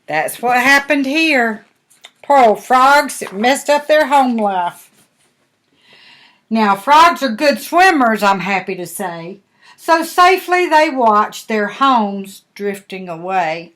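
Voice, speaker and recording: An older woman, a grandmother, reading a picture book aloud to young children with gentle, folksy explanations and chatty asides. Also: on the high side, expressive, takes it slowly.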